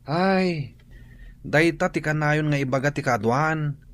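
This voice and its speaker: A man with an Ilocano accent playing a talkative, teasing friend who gives blunt advice in a radio drama, animated and nagging. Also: a little high, slow. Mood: chiding.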